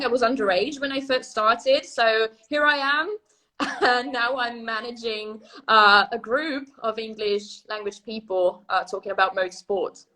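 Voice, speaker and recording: A woman's voice: on the high side, poor audio. A woman in her twenties whose first language is Italian, hosting a casual live-stream chat over a video call and laughing often. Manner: laughs often, lively and friendly. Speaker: host and chief editor.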